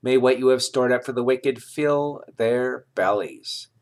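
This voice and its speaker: A man reading scripture aloud in a solemn, deliberate manner, with measured phrases and pauses. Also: medium pitch. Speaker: scripture reader.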